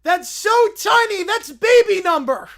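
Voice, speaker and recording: A shrill, whiny little bear cub who speaks in broken English and wails loudly, his voice high and swinging wildly up and down, as a woman puts him on. He draws out his complaints slowly. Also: animated, heavily acted. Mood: excited, whiny. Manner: whining, squealing, broken English.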